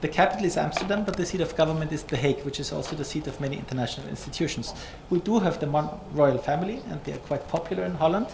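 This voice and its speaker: A man's voice: on the high side, very fast, creaky, few pauses. A man from Austria giving an academic lecture as guest speaker, chatty and self-correcting with light humor. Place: large lecture hall. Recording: echoey hall from far off, noisy.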